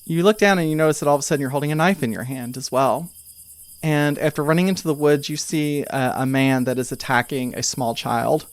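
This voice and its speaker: A man improvising tabletop game narration as game master, telling the story in a halting, conversational way. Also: a little high, no room sound.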